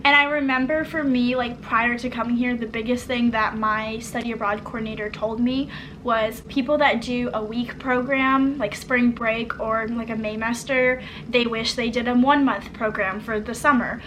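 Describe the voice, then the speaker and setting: A young woman from the United States hosts a relaxed chat with friends. Her voice sits fairly high and sounds bright and forward. She talks freely, sprinkling "like" through her sentences. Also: moderate pace, slight background noise, rough recording. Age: young adult. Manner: chatty, filler-heavy, frequent "like". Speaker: host and exchange student. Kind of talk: casual chat.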